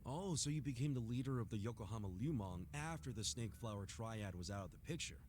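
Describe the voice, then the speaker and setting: An actor playing a brash, good-hearted drinking buddy in a video game, blunt, earnest and easygoing. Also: male voice, medium pitch, animated, brisk, a little mellow, a little hiss or hum, close to an everyday voice. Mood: curious. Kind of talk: English video game voice acting.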